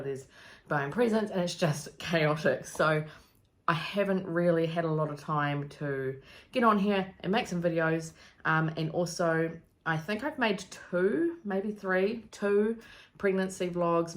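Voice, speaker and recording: A woman in her thirties with a New Zealand accent and a low voice for a woman. She chats to the camera in a casual, rambling way, with plenty of ums and likes. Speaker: vlogger. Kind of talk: casual pregnancy vlog.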